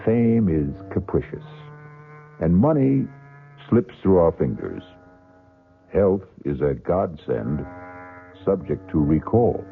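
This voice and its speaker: Super deep creaky guy doing dramatic radio narration, rises and falls a lot, old thin recording. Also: big pitch swings, slow pace, long pauses. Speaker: program announcer. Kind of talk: slow, dramatic scripted monologue. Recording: phone-like old radio recording, music behind.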